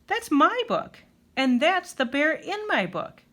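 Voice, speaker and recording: Woman voicing a high, confident little girl. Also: big pitch swings, slow, a bit bright, heavily acted. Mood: indignant, excited. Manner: eager, forthright. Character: friendly, confident, kind little schoolgirl.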